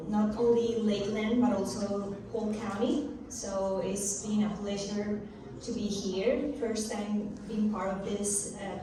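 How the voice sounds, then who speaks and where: A woman from Colombia gives a short, halting introduction with many pauses and fillers. Her voice comes from far off in a very echoey hall with steady background noise. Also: medium pitch. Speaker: panelist. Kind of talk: self-introduction on a panel. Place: convention hall.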